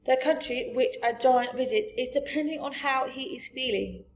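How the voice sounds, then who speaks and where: A woman voicing a big, friendly male giant from a storybook, gentle and boastful, with muddled grammar and emphatic delivery. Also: high voice, normal speed, a little hiss or hum, pitched above the performer's own voice. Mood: eager, explaining. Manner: garbled grammar, invented words, emphatic. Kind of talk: storybook character voice.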